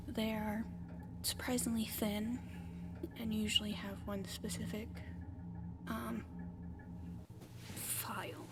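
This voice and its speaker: A woman playing a friendly, apologetic alien caretaker in an ASMR roleplay, speaking gently and haltingly and often slipping into whispers. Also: mid-range voice, heavy background noise. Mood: gentle, curious. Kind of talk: soft-spoken ASMR roleplay addressed to the listener.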